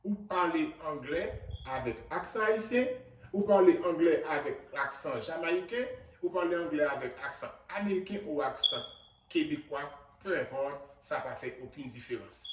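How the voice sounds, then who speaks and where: Animated Haitian man in an echoey room. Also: fairly high pitch. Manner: earnest and emphatic, rising loudly on key points. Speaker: doctor addressing the Haitian community. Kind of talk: motivational video message. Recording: roomy echo, distant mic, faint background noise.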